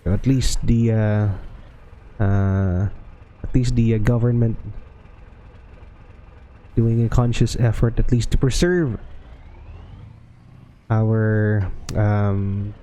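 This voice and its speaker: A man with a Filipino accent giving relaxed gameplay commentary as a channel host, talking hesitantly with many fillers and restarts. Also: lowish voice, takes it slowly, long pauses. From Philippines.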